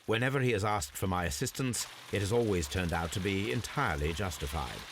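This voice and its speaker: A man's voice: deep voice, expressive, brisk, noisy background. A British man playing a confident, analytical Victorian detective in a video game, speaking in a precise, formal, lecturing way. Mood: brisk, lofty. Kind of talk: video game dramatic acting.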